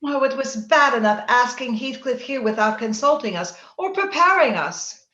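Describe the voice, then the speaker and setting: In a roomy, slightly dull recording, a woman plays a jealous, anguished young married lady of an old-time radio drama. The voice is high and the delivery haughty and melodramatic. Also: expressive, bright, forceful tone. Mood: scolding, haughty. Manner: melodramatic, halting when upset. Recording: roomy, slightly dull remote-call sound.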